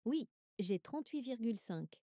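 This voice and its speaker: A woman reading everyday French dialogues for learners, with clear, careful scripted delivery. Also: on the high side, lively intonation, normal speed, dark tone, dry recording, studio quality, natural voice.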